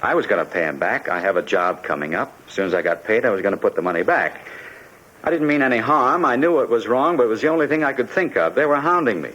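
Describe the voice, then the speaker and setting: A man's voice: mid-range voice, sing-song, fast talker, a bit bright. An actor playing a desperate, bitter immigrant man haunted by his past, insistent and wrought up, in a 1950s radio drama. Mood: anguished, pleading. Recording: dull, noisy 1950s radio recording.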